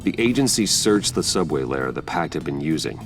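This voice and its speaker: An actor playing a brooding, guarded, determined vigilante hero in a video game, speaking in a serious, restrained way. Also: male voice, very deep voice, lively intonation, moderate pace. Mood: focused. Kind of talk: dramatic video game voice acting. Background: background music.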